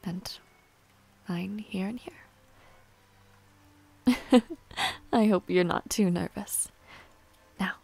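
A woman playing a young noble villainess in a romantic audio roleplay, proud yet shy and tender, speaking softly in formal, halting phrases. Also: mid-range voice, vocal fry, breathy edge, heavily acted. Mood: shy, gentle.

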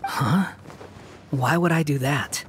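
A man's voice: fairly high pitch, slow, fairly bright tone, slight background noise. A man voicing a proud, aloof young wanderer in a video game, curt and exasperated but grudgingly kind. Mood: flustered, annoyed.

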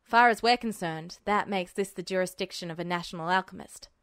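A woman's voice: lowish voice, a little fast, fairly bright tone, clean tone, no room sound, studio quality, pitched below the performer's own voice, lightly acted. A woman voicing a matter-of-fact male town police officer with plain, clipped speech, in a story reading.

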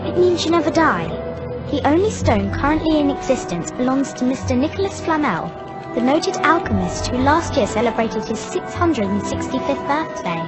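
A woman's voice: high voice, sing-song, moderate pace, over music. A woman playing a bookish, bossy young witch in a video game, with an English accent and a prim, emphatic manner. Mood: earnest. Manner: prim, emphatic, know-it-all. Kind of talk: video game cutscene acting.

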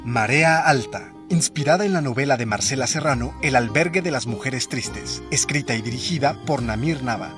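A man's voice: mid-range voice, normal speed, few pauses. A man reading scripted closing credits as a radio drama announcer. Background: music.

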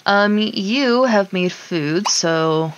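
A woman's voice: mid-range voice, lively intonation, drawn-out words, dull audio. A woman casually commenting on her life-simulation gameplay, chatting to her sims and laughing often.

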